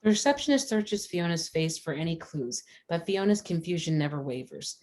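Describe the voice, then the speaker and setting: Low-voiced woman reading stage directions. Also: lively intonation, normal speed, darkish tone. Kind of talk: scripted screenplay narration at a table read.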